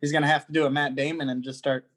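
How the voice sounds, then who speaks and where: A man speaks quickly in a fairly high voice. His style is informal chat, as one of a comedy podcast cast. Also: little pitch movement, fairly bright tone. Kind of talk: casual chat.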